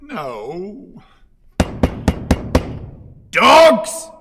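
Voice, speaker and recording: An actor playing an imperious, exasperated master in a radio play, speaking in halting fragments with sudden barks. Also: male voice, high voice, huge pitch swings, full character voice. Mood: exasperated, commanding. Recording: echoey hall, music behind.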